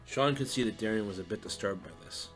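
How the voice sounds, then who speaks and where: Guy narrating a story, lowish voice, scripted, faint music underneath. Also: fast talker, fairly bright tone.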